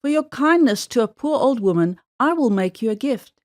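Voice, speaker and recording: A gracious storybook fairy, as a woman narrator puts her on speaks formally and solemnly, as if bestowing a decree. The intonation is very animated. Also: fairly high pitch, normal speed, close to an everyday voice. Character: gracious female fairy, rewarding and punishing.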